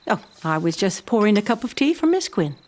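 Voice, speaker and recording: An actress playing a kindly, reflective housekeeper in an audio drama, speaking in a grave, deliberate way. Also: female voice, medium pitch, very animated, a little mellow, slight background noise, natural voice.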